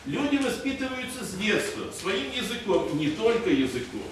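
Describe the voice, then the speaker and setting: An older male sociologist at a roundtable in a conference room, lecturing with hesitant pauses. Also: high-pitched, sing-song, a bit bright. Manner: lecturing, hesitant with many "э" fillers, shows charts. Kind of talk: panel discussion. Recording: echoey room from far off, noisy.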